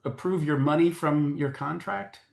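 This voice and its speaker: A man's voice: fairly high pitch, normal speed, creaky, a little mellow, a little hiss or hum. A man hosting a conversational interview, putting questions to a guest. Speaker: interview host. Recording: echoey, roomy sound.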